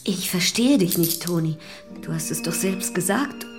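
Actress as a composed, defiant young widow. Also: female voice, on the low side, lively intonation, moderate pace, soft background music, lightly acted. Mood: urgent, pleading. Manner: composed, unashamed. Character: calm, defiant young widow in love, under questioning. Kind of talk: German radio drama acting.

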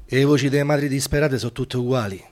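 An actor playing a wry young Roman film extra held prisoner, in a radio play with a Roman accent. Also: male voice, medium pitch, quick pace, lightly acted. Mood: bitter, resigned. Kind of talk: radio theatre monologue.

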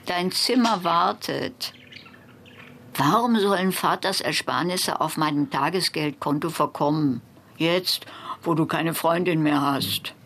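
A woman's voice: low voice, takes it slowly, a bit bright, a little hiss or hum, heavily acted. A woman playing a clinging, reproachful elderly mother in a radio drama, nagging and coaxing. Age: older.

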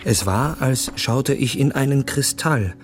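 Actor as Andreas, reflective man, medium pitch. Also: a little slow, close to an everyday voice. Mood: reflective wonder. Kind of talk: lyrical literary radio narration.

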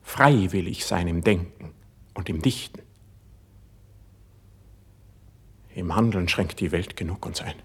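A man playing a young, proud, wounded poet in a classic verse radio drama, declaiming intensely with a breathy voice. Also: deep voice, normal speed, exaggerated voice. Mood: proud, defiant.

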